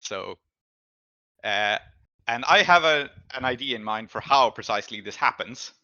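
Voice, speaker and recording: A man's voice: medium pitch, lively intonation, moderate pace, pushed and bright, no echo, highs cut off. A man improvising narration as the game master of a tabletop role-playing game.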